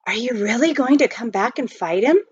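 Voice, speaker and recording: A woman's voice: fairly high pitch, expressive, fairly quick, a little mellow, breathy edge, no room sound, voice pushed up. A woman voicing an eager, earnest young boy prospector in a storybook reading. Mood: uneasy. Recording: dull lo-fi home recording.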